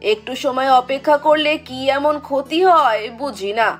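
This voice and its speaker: A woman's voice: high-pitched, a little slow, soft background music, voice pushed up. A woman voicing a scolding, exasperated village wife in a fairy-tale cartoon. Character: nagging, fed-up village wife.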